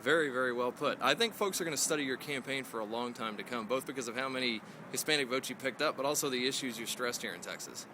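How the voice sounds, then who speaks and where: A man interviewing a politician, asking brisk, friendly questions. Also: medium pitch, background noise.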